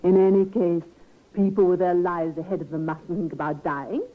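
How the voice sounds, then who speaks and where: Radio play nurse played by a woman: kindly, no-nonsense, gently bossy, low register, narrow old recording. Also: steady tone, natural voice. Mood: firm. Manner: brisk, fond, gently bossy. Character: brisk, kindly woman nurse, firm and motherly. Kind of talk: old-time radio drama acting.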